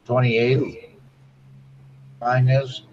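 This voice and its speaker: A man's voice: mid-range voice, little pitch movement, extremely slow, a little mellow. A man in his forties chatting casually and asking questions. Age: 40s. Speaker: guest. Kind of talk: casual group chat. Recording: dull sound with faint noise.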